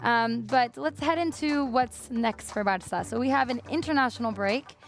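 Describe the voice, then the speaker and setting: A woman's voice: a little high, normal speed. A young American woman hosts a casual football chat in an upbeat way and keeps the talk moving. Light music plays behind her. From California, United States. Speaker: host.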